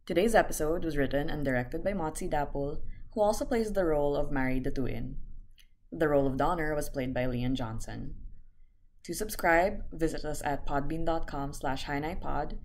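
Deep-voiced woman reading podcast credits. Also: moderate pace, a little mellow, slight background noise. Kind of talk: scripted announcement.

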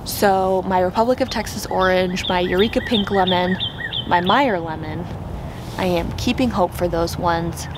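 A woman's voice: fairly low pitch, normal speed, background noise. An American woman in her thirties chatting expressively and informally to camera as she gives a casual vlog tour outdoors. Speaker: gardening vlogger. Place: outdoors in a suburban backyard.

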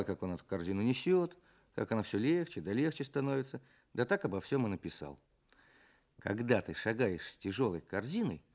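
A man recites verse and tells little stories for children. His delivery is theatrical and expressive, with lively swings of pitch and a gravelly edge to a mid-pitched voice. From Russia. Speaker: children's writer guest. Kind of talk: storytelling and reciting children's poems.

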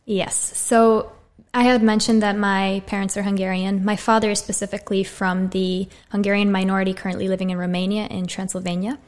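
Young American woman, relaxed interview talk. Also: mid-range voice, a little fast. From USA. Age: 20s. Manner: friendly, relaxed, frequent "so" openers. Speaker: podcast guest, medical student. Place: small bright studio room. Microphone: studio condenser mic on boom arm.

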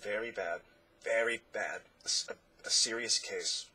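A man voicing a raspy croaking old quack doctor. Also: fairly low pitch, moderate pace, bright, forceful tone, heavily acted. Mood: insistent. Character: hoarse croaking male doctor, eccentric and pushy.

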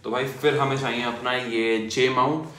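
Bright-voiced young man demoing gear. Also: mid-range voice, fairly even intonation, normal speed. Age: young adult. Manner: chatty, addresses viewers as bhai and yaar. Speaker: motovlogger. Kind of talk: casual product demo. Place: small indoor room at a desk. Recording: echoey room.

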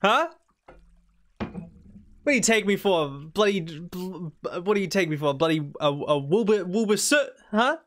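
Young kiwi guy streaming a farming game, highish voice, goofy back-and-forth with chat. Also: lively intonation, a little slow. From New Zealand. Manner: playful, jumps to high squeaky protests when teased.

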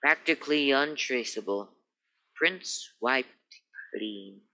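A man's voice: medium pitch, animated, takes it slowly, sharp tone, full character voice, poor audio. A man playing a wordy, comically formal police sergeant in a radio play, with a florid, officious, eager manner. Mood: pompous, eager.

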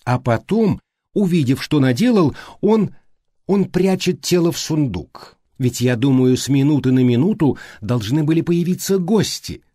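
A man's voice: a little high, lively intonation, moderate pace, mellow, dry recording, studio quality. A man voicing a reserved, literal-minded female secretary in an audiobook, speaking in a hesitant, matter-of-fact way. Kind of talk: audiobook character dialogue.

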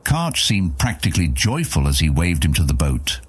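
A man narrating a fantasy audiobook in a measured storytelling manner. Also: rumbling low, big pitch swings, slightly rough, no echo.

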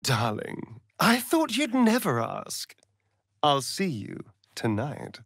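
Sardonic vain British vampire, video game role, slow arch drawl, very animated pitch. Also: male voice, gravelly, dry recording, clean studio sound, heavily acted. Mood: sly, teasing. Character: sardonic, vain male vampire rogue, theatrical and catty. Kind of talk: video game character voice lines.

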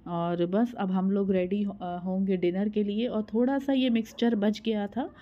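A woman's voice: mid-range voice, fairly even intonation, a little slow, highs cut off. A woman in her thirties from India, narrating her home vlog in a voice-over, chatty and explaining each step with frequent filler sounds. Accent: Hindi. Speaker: vlogger.

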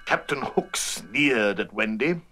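A man's voice: lowish voice, expressive, slow pace, bright, forceful tone, soft background music. A man reading a scripted children's story as narrator of a storybook record.

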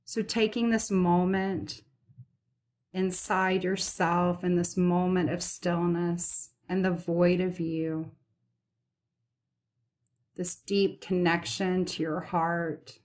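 A woman leading a guided meditation as its host, speaking soothingly and gently in repeated, unhurried phrases. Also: lowish voice.

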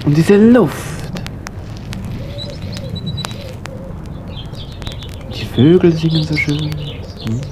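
Man as a slow, musing wanderer. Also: a little high, expressive, background noise. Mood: dreamy delight. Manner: musing, wondering, halting. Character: dreamy sound hunter, awed wanderer. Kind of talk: radio play acting. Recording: 1980 vinyl radio play recording.

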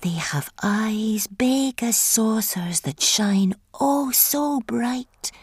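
An actress playing a sly old witch in a storybook, luring and sweetly menacing in a breathy, hushed voice. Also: a little high, expressive, extremely slow, no room sound, heavily acted. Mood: ominous warning. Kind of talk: storybook verse performance.

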